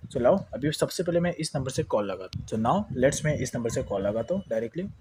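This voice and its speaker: A man's voice: medium pitch, sing-song, a little fast, a little mellow, breathy edge. A man with an Indian accent giving a casual step-by-step phone tutorial, explaining repetitively and mixing in English words. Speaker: tech tutorial host.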